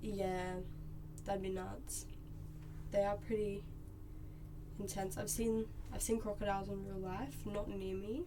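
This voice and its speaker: A woman's voice: mid-range voice, flat delivery, measured pace, long pauses, noisy background. A young Australian woman with an Australian accent, chatting casually and rambling to live viewers while she draws, with lots of filler "like"s. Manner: laid-back, rambling, many filler "like"s. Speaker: streamer.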